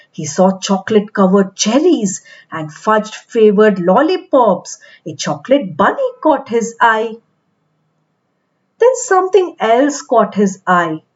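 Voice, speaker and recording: A woman reading a picture book aloud to young children in an expressive, deliberate storytelling manner. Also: on the high side, muffled recording.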